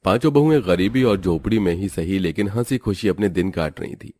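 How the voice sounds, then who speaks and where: Male narrator for a scripted tale, deep register, storytelling delivery. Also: brisk, mellow, no echo, clean studio sound.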